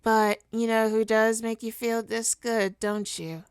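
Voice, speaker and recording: Woman acting a smug seductive supervillain lady, really slow hypnotic asmr talk, smooth voice, teasing. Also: a little high, little pitch movement, no echo, studio quality, lightly acted. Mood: soothing, hypnotic. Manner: coaxing, teasing, deliberate. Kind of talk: ASMR roleplay, hypnotic monologue.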